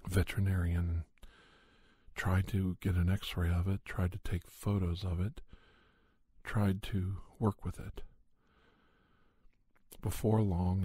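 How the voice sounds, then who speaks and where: Male actor as grave, gentle doctor, paranormal investigator narrating a podcast tale. Husky, hushed, very dry close sound. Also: deep voice, no room sound. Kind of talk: ASMR scripted storytelling.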